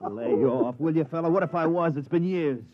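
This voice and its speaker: An actor playing a harried, sarcastic maintenance chief, exasperated and wisecracking, in a 1950s radio drama. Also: male voice, on the high side, brisk, close to an everyday voice. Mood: flustered, surprised. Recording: dull vintage radio recording.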